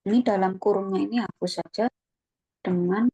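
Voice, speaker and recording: A woman presenting a casual online tutorial, relaxed and chatty, often thinking aloud with fillers. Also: mid-range voice, normal speed, husky, no echo. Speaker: webinar presenter.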